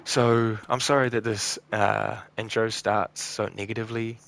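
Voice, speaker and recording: Tired raspy man with a gravelly low voice. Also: flat delivery, measured pace, a bit bright. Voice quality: croaky, a bit raspy. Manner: subdued, rambling, frequent ums and trailing off. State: tired and burnt out. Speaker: gaming channel host. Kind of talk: casual gameplay commentary.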